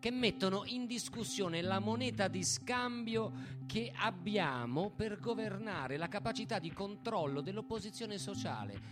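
A man's voice: sits high, expressive, unhurried, music in the background. An actor playing a scornful, indignant male party politician, sweary and rhetorical, in radio theatre.

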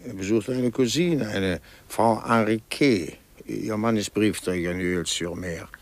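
Shrewd private investigator, lowish creaky voice, dry, matter-of-fact, 1950s radio drama. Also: male voice, unhurried. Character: shrewd former policeman, matter-of-fact investigator helping a client.

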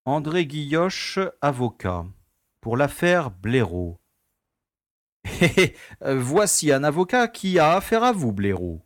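A man playing a formal, wryly amused prison director in a measured audio drama style. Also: a little high, sing-song, no room sound, studio quality. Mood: dry, amused. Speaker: actor in an amateur audio drama. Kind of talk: theatrical French audio drama acting.

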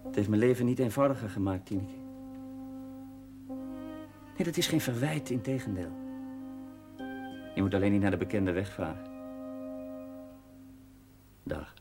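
Curt mine engineer, lowish voice, clipped, wry, seventies TV drama dialogue. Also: male voice, animated, measured pace, pauses often, music in the background. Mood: tender, wistful. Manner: clipped, dry, matter-of-fact. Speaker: mining engineer. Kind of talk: naturalistic 1970s TV drama acting.

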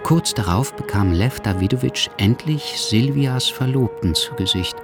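An actor playing a sombre male writer who narrates history in measured literary style for a radio drama. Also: medium pitch, over loud music, close to an everyday voice. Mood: sober, ominous.

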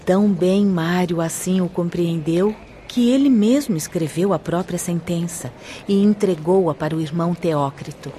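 An actress playing a stern yet serene spirit sister in a radio drama, speaking in a solemn, measured way. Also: female voice, lowish voice, dark tone, natural voice. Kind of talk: Brazilian radio drama acting. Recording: faint background noise.

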